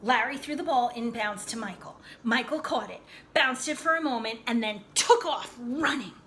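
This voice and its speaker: A woman with a bright, fairly high voice reads a picture book aloud to children. She is animated and dramatic, takes her time, and adds little asides to explain the story. There is some noise in the recording. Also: normal speed. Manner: animated, dramatic delivery that explains things to young listeners.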